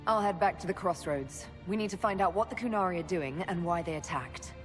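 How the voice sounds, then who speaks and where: A woman's voice: lowish voice, fairly quick, lightly acted. A woman playing a self-assured, wry military leader in a video game, with a dry, decisive delivery. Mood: reassuring, resolved. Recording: soft music behind.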